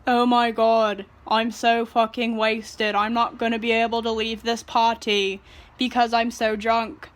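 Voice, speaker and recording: A woman's voice: fairly high pitch, little pitch movement, a little slow, close to an everyday voice. A woman doing a drunk, drawling young man. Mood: drunken rambling. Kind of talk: mocking parody reading.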